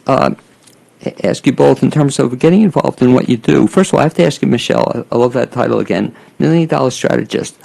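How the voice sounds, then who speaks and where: A man's voice: medium pitch, vocal fry, a little mellow. A man hosting a talk show, chatting casually with guests, joking and stumbling a little over names. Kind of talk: casual interview chat.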